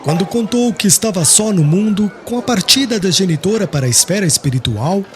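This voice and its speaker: A man's voice: high-pitched, lively intonation, fairly quick, soft background music. A man narrating an audiobook in a solemn, literary style.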